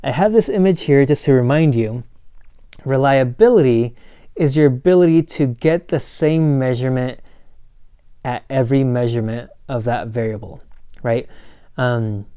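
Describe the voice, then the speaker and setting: Slightly hoarse man lecturing, mellow tone. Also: medium pitch, moderate pace, no room sound, clean studio sound. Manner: casual, corny asides, frequent "right?" and "um". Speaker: college instructor. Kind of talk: recorded lecture.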